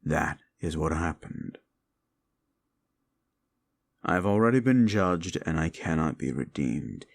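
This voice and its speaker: Man acting a gravelly, deep-voiced haughty demon. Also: animated, measured pace, full character voice. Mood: bitter, resigned. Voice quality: gravelly, creaky low voice. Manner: theatrical, archly formal, taunting. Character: male demon, fallen angel, haughty, grudging, seductive tsundere. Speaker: audio roleplay performer. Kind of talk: intimate second-person audio roleplay acting.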